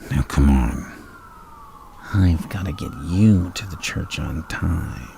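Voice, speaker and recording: A man playing a flirtatious, menacing, unhinged villain in a gravelly half-whisper for an ASMR roleplay, slow and teasing with murmured hums. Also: very deep voice, warm tone, slight background noise, exaggerated voice. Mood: obsessive, intense.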